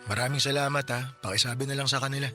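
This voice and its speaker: A man's voice: medium pitch, fairly even intonation, sharp tone, soft background music. A Filipino man voicing a poor widower who has just lost his family, weary and bitter in a radio drama. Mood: tired. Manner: weary, bitter, speaks slowly when stressing words. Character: grieving poor widower, tricycle driver, bitter about justice. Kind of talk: Tagalog radio drama acting.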